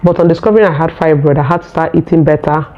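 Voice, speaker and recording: A Nigerian woman who speaks English as a second language, telling her own story in a vlog in an earnest, unhurried way. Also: low voice, steady tone, lo-fi sound. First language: Nigerian local language. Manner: earnest, unhurried, searching for words. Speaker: vlogger.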